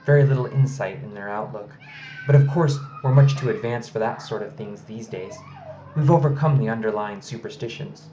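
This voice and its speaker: A man's voice: on the low side, normal speed, warm tone, roomy sound, natural voice. A man voicing a folksy, pompous town mayor and father in a children's storybook. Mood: self-satisfied. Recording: slightly noisy, dull home recording.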